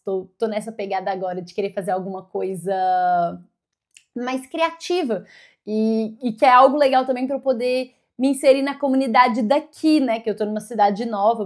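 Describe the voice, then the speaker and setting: A woman from Brazil giving a casual vlog update, chatty, with many fillers and self-corrections. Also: fairly high pitch. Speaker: vlogger.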